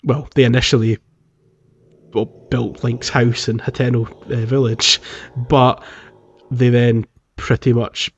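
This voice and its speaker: A dull, slightly lo-fi recording carries an amused man in casual podcast conversation. He co-hosts a gaming show in a middle-pitched voice and chuckles easily as he talks. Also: level tone, a little slow, a little hiss or hum. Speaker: podcast co-host. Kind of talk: casual video game podcast chat.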